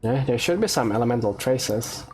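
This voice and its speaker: A man's voice: medium pitch, expressive, moderate pace, a crowd in the background. A man giving casual let's play commentary, reacting aloud and talking to himself. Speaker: gaming video host. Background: faint game music.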